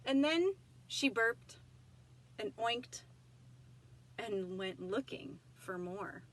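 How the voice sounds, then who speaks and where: Female children's read-aloud storyteller, very expressive and emphatic, animated intonation, faint background noise. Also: fairly high pitch, extremely slow. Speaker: storybook reader.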